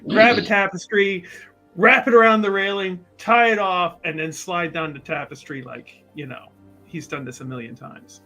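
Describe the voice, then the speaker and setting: A man's voice: high-pitched, normal speed, bright, forceful tone, low-quality recording. A man playing a tabletop roleplaying game, chatting casually and playfully about what his character does. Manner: jokey, laughs often. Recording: music playing behind. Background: background music.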